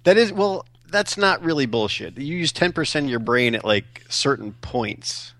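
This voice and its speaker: Gravelly laid-back man. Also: medium pitch, animated, normal speed, bright, forceful tone, dry recording. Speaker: podcast co-host. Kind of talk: casual chat.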